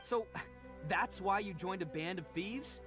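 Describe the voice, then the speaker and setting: An actor voicing a brash, cocky young oni brawler in a video game, with a swaggering, showy delivery. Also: male voice, high voice, unhurried, over music. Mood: puzzled, defensive.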